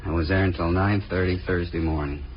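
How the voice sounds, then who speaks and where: Man playing a surly low-voiced suspect, old radio. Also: fairly even intonation, brisk, over loud music, natural voice. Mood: grudging. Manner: curt, defensive, slangy. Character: surly, evasive male handyman suspect, defiant under questioning. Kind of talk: 1950s radio crime drama acting. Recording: muffled old 1950s radio recording, faint noise.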